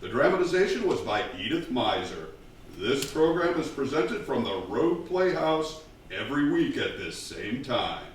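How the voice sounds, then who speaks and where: Man playing an echoey, animated old-time radio announcer. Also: medium pitch, normal speed, natural voice. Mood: formal, proclaiming. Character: formal, eager old-time radio announcer hosting a mystery program. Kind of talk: 1940s radio announcing, stage performance. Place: theatre stage, indoors. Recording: very echoey hall from far off, faint noise.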